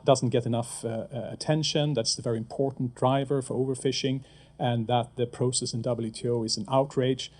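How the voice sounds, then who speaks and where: A middle-aged man from Sweden, a former fisheries regulator, giving panel remarks with enthusiasm and many fillers and restarts. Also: mid-range voice.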